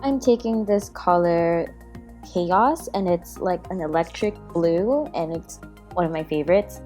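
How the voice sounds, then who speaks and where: Female beauty vlogger, slightly low voice, casual and self-deprecating tutorial narration. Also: unhurried, mellow. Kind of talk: casual makeup tutorial voice-over. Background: background music.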